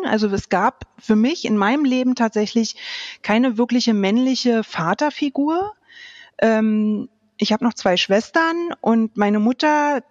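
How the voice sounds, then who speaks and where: A woman's voice: medium pitch, darkish tone, no echo. A woman in her late thirties talks casually as a podcast guest. She feels her way hesitantly through her answers, searching for words. The sound is dry and a little muffled. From Berlin, Germany. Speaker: podcast guest, youth welfare coordinator. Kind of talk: casual personal interview answers.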